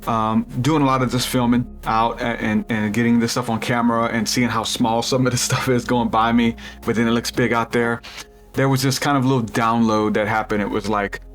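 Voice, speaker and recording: A man with a deep voice hosting a podcast, rambling through a spiritual theory in casual chat with lots of restarts. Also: little pitch movement, brisk, a bit bright, soft background music. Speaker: podcast host.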